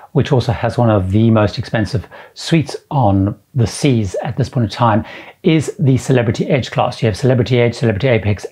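A man's voice: medium pitch, darkish tone, breathy edge. A middle-aged man presenting to camera, enthusiastically listing features with repeated superlatives. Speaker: cruise travel vlogger. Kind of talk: presenting to camera, touring suites. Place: indoor room with framed ship posters. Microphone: lapel mic, camera framed at chest.

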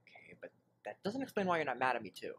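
A woman's voice: a bass voice, very animated, fast, a bit bright, breathy edge, heavily acted. A woman voicing a brooding, guilt-ridden young unicorn in a fan cartoon. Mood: anxious. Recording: faint noise, played-back web video.

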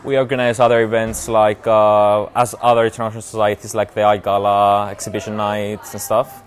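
A man's voice: on the low side, little pitch movement, fairly quick. A young man with a Cypriot accent giving casual, hesitant interview answers full of fillers. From Cyprus. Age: young adult. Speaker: student society president. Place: large indoor student lounge.

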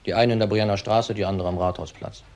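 An actor playing an agitated, evasive husband in his thirties in a crime drama, speaking haltingly and repeating words. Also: lowish voice, fairly even intonation, a little mellow, close to an everyday voice. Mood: evasive, subdued. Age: 30s. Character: young widower husband, agitated, evasive under questioning. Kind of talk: German crime drama acting.

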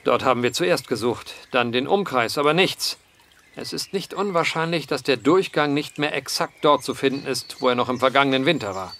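An actor playing an earnest, determined gentleman writer in a German audio drama, speaking with precise, old-fashioned eloquence. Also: mid-range voice, animated, moderate pace, a little hiss or hum. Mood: courteous.

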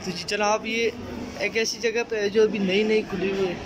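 A man's voice: high voice, fairly even intonation, moderate pace. A young man from Pakistan chatting casually as he vlogs with friends, filmed on a phone held at arm's length in a busy mall. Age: young adult. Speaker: vlogger. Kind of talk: casual vlog narration. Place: busy indoor shopping mall. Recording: loud noisy phone recording.

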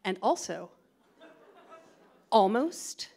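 A middle-aged American woman performs stand-up into a stand mic on a theatre stage. She talks in a chatty, giggly, self-deprecating way, with a pitch that sits in the middle for a woman. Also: big pitch swings, drawn-out words, gravelly, mellow. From California. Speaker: stand-up comedian. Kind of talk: stand-up comedy set. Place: theatre stage before a live audience. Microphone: stand microphone.

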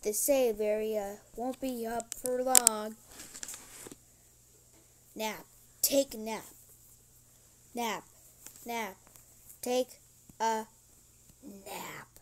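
A stern robot attendant from a horror video game, voiced by a woman, fussing over naps and rules. The delivery is very slow and deliberate, in a fairly high voice. It is a homemade recording with some light noise. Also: a little mellow. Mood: instructive, then coaxing. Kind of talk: hobby character voice lines.

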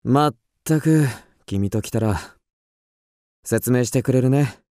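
A man playing an upper-class husband in a radio drama, suave and indulgent, teasing with gentle condescension. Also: medium pitch, takes it slowly, no room sound, clean studio sound, lightly acted. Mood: amused, disapproving.

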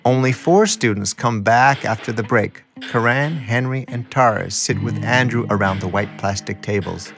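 A man's voice: fairly low pitch, moderate pace, few pauses, over music. A man reading scripted first-person documentary narration.

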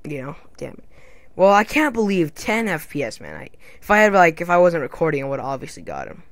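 A man's voice: on the high side, very animated, a little fast, a bit bright, no echo. A young man hosting a casual gaming commentary, joking, swearing and slipping into silly put-on accents. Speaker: gamer channel host.

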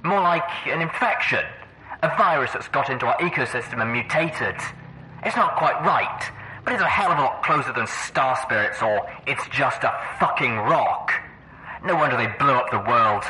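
A man's voice: mid-range voice, lively intonation, normal speed, bright, forceful tone, a little hiss or hum, poor audio. A man playing an irritable, opinionated pony researcher in an audio drama, blunt and caustic. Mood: bitter, scornful.

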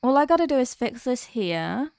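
A woman playing a sweet, sleepy workaholic girlfriend in an ASMR audio roleplay, speaking softly and hesitantly with affection. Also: high voice, sing-song, moderate pace, no echo, studio quality. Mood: flustered, reluctant.

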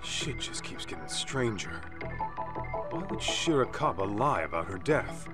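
A streetwise, middle-aged tough-guy detective for a video game. His low voice takes its time yet swings widely in pitch, blunt and full of slang, with music playing behind him. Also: sing-song, unhurried. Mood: blunt, pressing.

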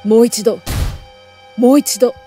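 A woman's voice: on the high side, fairly even intonation, a little slow. Woman dramatically narrating horror over music. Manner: theatrical, intense, with hushed passages and emphatic outbursts. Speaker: voice actor narrating a horror story. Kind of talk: dramatic first-person horror story narration.

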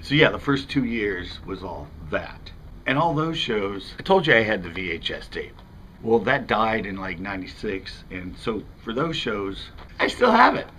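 Low-pitched American man chatting casually. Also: sing-song, normal speed, a bit bright, slight background noise. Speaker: fishing channel host. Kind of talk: casual talk to camera. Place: outdoors in front of a house window.

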